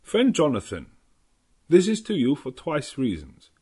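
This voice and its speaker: Old professor, raised pitch, grave, kindly, rhetorical, foreign phrasing, gothic audiobook. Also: male voice, wildly swooping pitch, a little slow, a little mellow, breathy edge, full character voice. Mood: resolute. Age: older. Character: old Dutch professor, earnest, grave, kindly vampire hunter.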